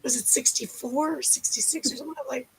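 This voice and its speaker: Playful Canadian woman with a very high, gravelly voice, chatting over a video call. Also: fairly even intonation, low-quality recording. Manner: playful, teasing, quick to joke. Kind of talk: casual group chat.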